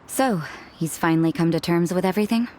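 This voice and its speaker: A woman's voice: lowish voice, brisk, a little mellow, a little hiss or hum, lightly acted. A woman voicing an aloof, laid-back young woman in a video game, speaking in a languid, dry, matter-of-fact way. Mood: calm, composed. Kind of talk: video game character dialogue.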